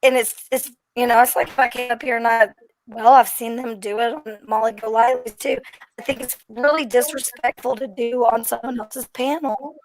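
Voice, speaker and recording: A hoarse woman speaking on a live stream panel, earnest and repeating herself as she finds her words. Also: a little high, fairly even intonation, normal speed, a little hiss or hum, poor audio.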